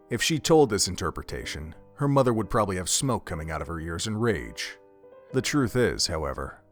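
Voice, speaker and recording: A man narrating an audio drama from a script, telling a story in the third person. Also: lowish voice.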